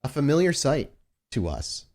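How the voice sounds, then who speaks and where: A man narrating a tabletop roleplaying game as game master, dramatic, with deliberate pauses. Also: on the high side, big pitch swings, darkish tone. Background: faint music.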